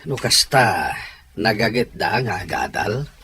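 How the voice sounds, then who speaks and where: A man's voice: lowish voice, lively intonation, measured pace, bright, forceful tone, husky, exaggerated voice. A stern, caring father. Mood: friendly. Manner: firm, lecturing, earnest. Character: stern but caring middle-aged father Primo. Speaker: radio drama actor. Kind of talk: radio drama acting.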